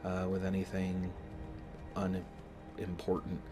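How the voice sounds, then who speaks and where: A man with a slight cold giving casual let's-play commentary, explaining things in a deliberate, halting way. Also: deep voice, monotone. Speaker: gaming video host. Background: game music.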